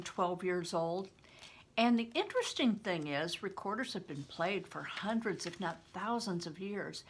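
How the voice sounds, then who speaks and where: Older lady reading a book out loud, takes her time, really swoopy expressive pitch, teacherly. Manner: teacherly, deliberate, dramatic. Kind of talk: expressive storybook reading with chatty explanatory asides.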